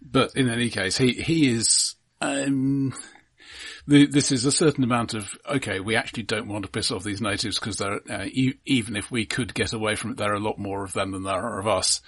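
A man co-hosting a film podcast, explaining his points casually and analytically in a halting way. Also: on the low side, steady tone, fairly bright tone, breathy edge, no room sound.

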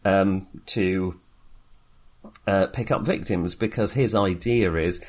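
Low-pitched man, halting film-podcast chat. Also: a little mellow. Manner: hesitant, halting delivery that circles back on phrases. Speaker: podcast co-host reviewing films. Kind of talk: casual film discussion.

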